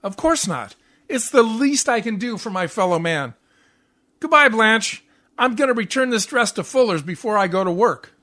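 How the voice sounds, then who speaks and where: A man's voice: high-pitched, expressive, normal speed, bright, forceful tone. A man playing a grumpy, sarcastic, impatient husband in a 1940s-style radio comedy. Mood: sarcastic, irritated.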